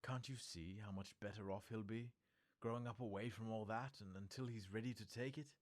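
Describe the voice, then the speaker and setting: A man voicing a wise old male wizard in a storybook, speaking in a grave, measured and firm manner. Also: fairly low pitch, fairly even intonation, voice pushed up, lightly acted. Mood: firm, serious. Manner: measured, serious.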